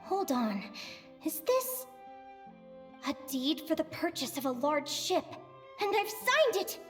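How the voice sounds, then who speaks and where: Game heroine: young adventurer, dreamy and eager, very high voice, swinging intonation, faint music behind. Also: female voice, big pitch swings, takes it slowly, exaggerated voice. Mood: amazed, elated. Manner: bubbly, wide-eyed, talks to herself. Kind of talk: video game voice acting, monologue.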